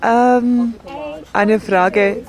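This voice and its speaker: A woman voicing a sign language sports report and interviews in a measured, steady way. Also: fairly high pitch.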